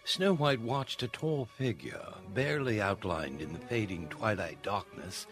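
A man narrating a dark fairy tale as a theatrical, scripted radio-play storyteller. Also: lowish voice, big pitch swings, unhurried. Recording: prominent music under the voice.

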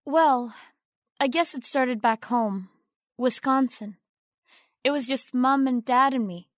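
An actress playing a humble young theater fan in a radio drama, speaking in an earnest, deferential, hesitant way. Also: female voice, high-pitched, slow pace, no room sound, clean studio sound. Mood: earnest, wistful.

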